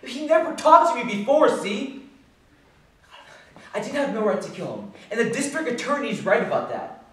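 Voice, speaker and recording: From far off in a very reverberant space comes a male actor as a bitter, agitated working-class clerk in a stage drama. His voice sits high and swings dramatically. He rambles in halting slang, like a man confessing and justifying himself. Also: sing-song, fairly bright tone, exaggerated voice. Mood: pleading, resentful. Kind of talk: theatrical stage monologue. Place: theatre stage. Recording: echoey distant stage recording, faint noise.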